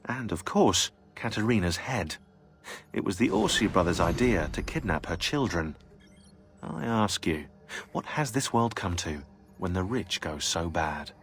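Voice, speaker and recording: A man's voice: low voice, very animated, a little slow, fairly bright tone, faint music behind, full character voice. An actor playing a sardonic male narrator reading out historical files in a video game, delivering them dryly and wryly. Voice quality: sardonic, dry. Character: sardonic male database narrator, dry and wry. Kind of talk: scripted narration.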